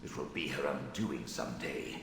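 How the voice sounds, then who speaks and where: An actor playing a haughty, sardonic dead wizard's spirit in a video game, speaking in an imperious, theatrical way. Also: male voice, extremely low pitch, normal speed, a bit bright. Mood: disdainful. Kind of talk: scripted video game acting. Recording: echoing cavernous reverb, faint noise.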